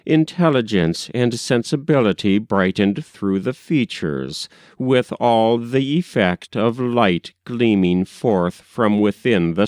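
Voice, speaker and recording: A man reading a literary story as an audiobook narrator, in a measured, expressive storytelling delivery. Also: lowish voice, no echo, clean studio sound. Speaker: audiobook reader.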